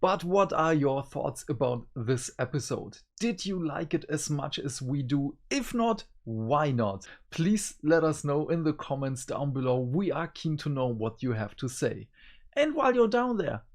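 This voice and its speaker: A man's voice: a little high, big pitch swings, moderate pace. A man co-hosting a review show, talking casually with his partner.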